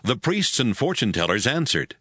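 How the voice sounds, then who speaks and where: A man's voice: mid-range voice, big pitch swings, normal speed, bright, forceful tone, breathy edge, no room sound, studio quality. A man narrating a dramatized audiobook as a scripted storyteller.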